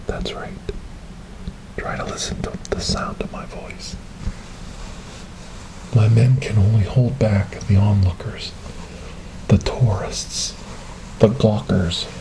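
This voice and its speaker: Very slow, hushed man playing a hypnotic paranormal investigator. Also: lowish voice. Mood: grave, hushed. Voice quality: soft, breathy, often dropping into whisper. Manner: hushed, deliberate, repetitive, hypnotic. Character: calm, hypnotic male government paranormal investigator soothing a ghost. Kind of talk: slow whispered ASMR horror role-play. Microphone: close binaural microphone. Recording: noisy close binaural recording.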